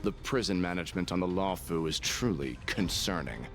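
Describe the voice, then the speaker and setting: Very deep-voiced, cynical guard. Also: male voice, moderate pace. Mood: dry, weary. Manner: deadpan, blunt, grave. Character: cold, cynical, world-weary male assassin bodyguard. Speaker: character voice actor. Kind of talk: video game story dialogue. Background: background music.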